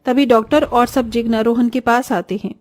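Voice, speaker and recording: A woman's voice: a little high, fairly even intonation, moderate pace, a little mellow, no echo. A woman narrating a scripted children's cartoon story as storyteller. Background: faint music.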